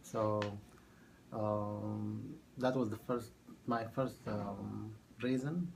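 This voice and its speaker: Ethiopia-born guy in his 30s, gravelly low voice, lots of uh and um, slight noise. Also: fairly even intonation, a little mellow, rough recording. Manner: halting, many fillers and restarts. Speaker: math teacher. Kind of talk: oral history interview.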